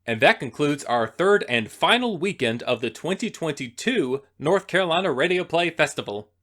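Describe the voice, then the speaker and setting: A man's voice: a little high, expressive, moderate pace, fairly bright tone. A man reading scripted credits as the announcer of an audio play production.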